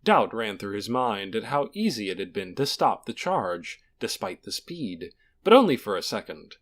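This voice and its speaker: Male narrator for fantasy fiction, dramatic scripted read with very lively, varied intonation. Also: on the low side, moderate pace. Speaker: audiobook narrator.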